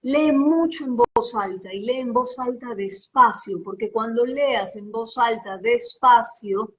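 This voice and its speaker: A woman's voice: a little high, slow, fairly bright tone, slight background noise. A woman who works as a voice-over artist, giving advice on a live video call in an emphatic, teacherly way, repeating phrases and speaking with a slight sing-song lilt.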